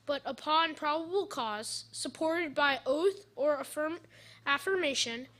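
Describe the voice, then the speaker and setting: A woman's voice: high voice, fairly bright tone. A woman reading a formal legal text aloud at a public event, sometimes stumbling and repeating words. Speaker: reader.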